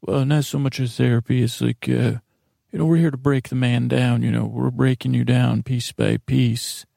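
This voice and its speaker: A man's voice: mid-range voice, fairly even intonation, normal speed, vocal fry, warm tone, dry recording, studio quality. A man voicing a polite but smug guided meditator who hesitantly and relentlessly shames at bedtime in a comic monologue. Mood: calmly menacing.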